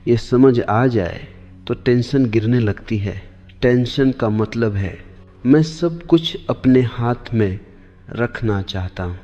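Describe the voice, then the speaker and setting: Slow-paced man in long reverb. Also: medium pitch, mellow. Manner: deliberate, short measured phrases with repetition. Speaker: spiritual teacher. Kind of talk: slow meditative discourse for sleep. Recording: long hall reverb, dull lo-fi sound. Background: clear background music.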